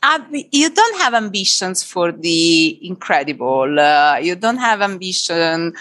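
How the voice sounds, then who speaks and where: Italian-accented woman, hesitant and halting. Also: fairly low pitch, very animated, fairly bright tone. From Italy. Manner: hesitant, many "uh" fillers and restarts. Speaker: podcast guest. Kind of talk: conversational interview.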